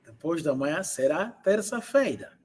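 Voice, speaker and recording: A man teaching an online language class, explaining and translating phrases and repeating them slowly for learners. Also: fairly high pitch, expressive, a little mellow.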